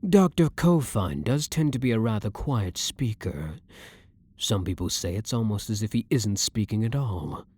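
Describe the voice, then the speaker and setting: A man playing a blunt, dominant, brooding vampire hunter who is secretly a vampire, in a husky voice with measured, formal, theatrical phrasing for an ASMR roleplay. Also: on the low side, expressive, a little mellow. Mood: commanding, teasing. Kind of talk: ASMR roleplay audio drama acting.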